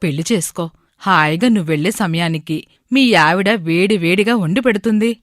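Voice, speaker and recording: A woman voicing an elderly village woman in a story, motherly and gently scolding. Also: fairly low pitch, normal speed, dry recording, clean studio sound, pitched below the performer's own voice, close to an everyday voice. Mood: chiding.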